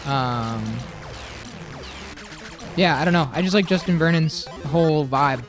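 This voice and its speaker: A man with a high voice chatting casually on a livestream, blunt and opinionated, swearing freely. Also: measured pace, over music. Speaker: livestream co-host. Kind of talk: casual chat on a gaming stream.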